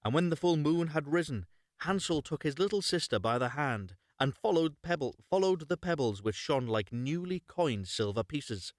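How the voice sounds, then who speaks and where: A man narrating a storybook live and unrehearsed, in a measured storytelling manner with occasional stumbles. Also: mid-range voice, no room sound, clean studio sound. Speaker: storyteller, voice-over reader.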